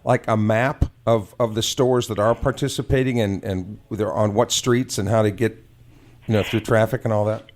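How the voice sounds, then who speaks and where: A man's voice: fairly low pitch, steady tone, moderate pace. A man co-hosting a casual radio chat in a folksy, jokey manner. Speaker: radio show co-host.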